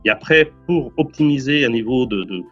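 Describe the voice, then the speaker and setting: A man from Portugal in his forties answers questions earnestly as an interview guest, often stumbling over and repeating his words. His voice is husky and mid-pitched. The recording sounds slightly dull and lo-fi, with faint music behind. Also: animated, moderate pace, a bit bright. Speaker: real estate investor guest.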